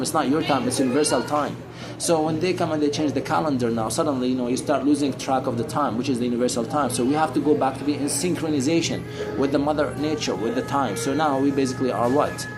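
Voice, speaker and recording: Middle-aged male, from Syria. Unscripted, preachy street-talker delivery with rambling repetition, guitar music clearly behind. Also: a little high. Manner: rambling, repeats phrases for emphasis; preachy, addresses listener as brother. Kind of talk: casual, unscripted explaining in conversation.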